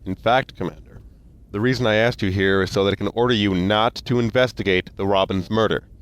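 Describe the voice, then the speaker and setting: A man's voice: on the low side, a little fast, clean tone, natural voice. An actor playing a stern male starfleet admiral who gives clipped, commanding orders.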